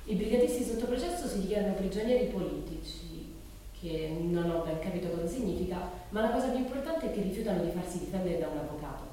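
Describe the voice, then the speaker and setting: A woman's voice: fairly low pitch, lively intonation, fast, dark tone, distant mic, natural voice. A woman playing a curious, outspoken teenage schoolgirl in a dramatic monologue, colloquial and incredulous. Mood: puzzled, intrigued. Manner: colloquial, incredulous, self-questioning. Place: large reverberant room, distant mic. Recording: echoey hall from afar, noisy.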